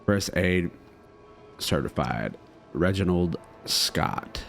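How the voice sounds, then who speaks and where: A man's voice: low-pitched, animated, very slow, vocal fry, a bit bright, soft background music. A man doing casual let's play commentary, thinking aloud and reading game text as he goes. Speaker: gamer playing and commenting.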